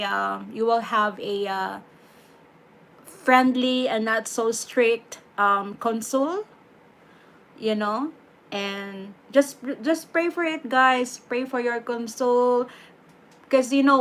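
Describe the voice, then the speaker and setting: A woman with a Filipino accent hosting a casual livestream, chatty and relaxed, giving advice and slipping in Tagalog words. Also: fairly high pitch. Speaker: livestream host.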